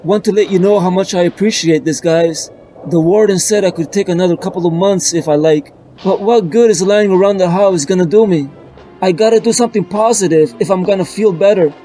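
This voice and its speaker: A man's voice: high voice, mellow, faint music behind, pitched below the performer's own voice, close to an everyday voice, low-quality recording. A man voicing a bitter, vengeful male prison guard who talks grimly and in a rush. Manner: grim, hurried.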